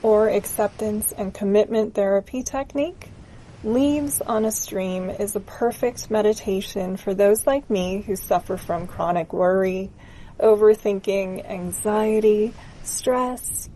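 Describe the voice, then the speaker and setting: A woman narrating a scripted guided meditation in a gentle, soothing, unhurried manner. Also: medium pitch, slight background noise, low-quality recording.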